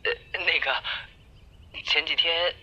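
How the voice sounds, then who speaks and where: A man playing a timid, polite young man in an audio drama, hesitant, halting and apologetic. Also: fairly high pitch, steady tone, sharp tone, husky, highs cut off, heavily acted. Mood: nervous, pleading.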